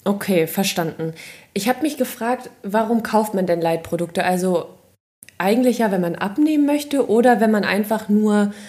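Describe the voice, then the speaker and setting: A woman's voice: mid-range voice, normal speed, darkish tone. Woman on a chatty podcast, asks lots of curious questions, jokes about herself. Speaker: podcast co-host asking questions. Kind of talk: casual podcast chat.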